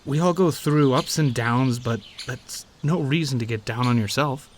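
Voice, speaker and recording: A man playing a teasing, warm-hearted young friend in an audio roleplay, conversational and given to playful grumbling. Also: mid-range voice, moderate pace, a little hiss or hum, close to an everyday voice. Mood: gentle, reassuring. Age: young adult. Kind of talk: audio roleplay acting, addressing the listener.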